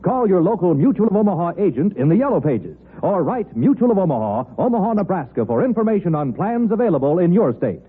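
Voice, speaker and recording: A man's voice: high-pitched, big pitch swings, quick pace, hardly any pauses, breathy edge. An American man announcing a scripted commercial in the style of 1950s radio. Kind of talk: 1950s radio advertisement read. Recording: tinny, narrow-band 1950s radio recording.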